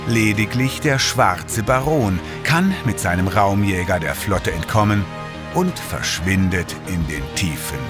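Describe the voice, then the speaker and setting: A man narrating a retro sci-fi audio drama in a dramatic, deliberate announcing style. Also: sits low, animated. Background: music.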